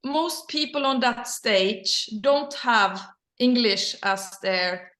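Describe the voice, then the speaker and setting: Echoey Norwegian woman with a higher voice. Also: animated, slow pace, fairly bright tone. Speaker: interview guest, company leader. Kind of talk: casual personal storytelling. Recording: roomy echo.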